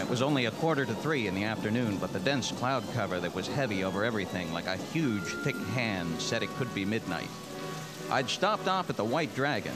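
An actor playing a world-weary ex-cop detective, terse and cynical, in the style of 1940s noir radio. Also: male voice, on the low side, natural voice. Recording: music under the voice.

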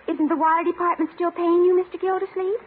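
A naive, cheeky office secretary in a classic radio comedy. She speaks in a high voice with matter-of-fact innocence. The recording is thin and tinny, with light hiss. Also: little pitch movement, a little fast. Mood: earnest, guileless. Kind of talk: 1950s radio situation comedy acting.

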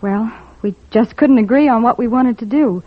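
A woman's voice: a little high, normal speed, close to an everyday voice. A woman playing an earnest, concerned young caseworker in an old-time radio drama, speaking in a polite, proper, questioning way. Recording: tinny old radio recording.

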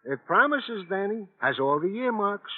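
An actor playing a wordy, mock-pompous American police sergeant sidekick, with fussy formal phrasing, in a 1950s radio drama. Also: male voice, high-pitched, big pitch swings, moderate pace, breathy edge, heavily acted. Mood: fussy, earnest. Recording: vintage radio, tinny band-limited sound.

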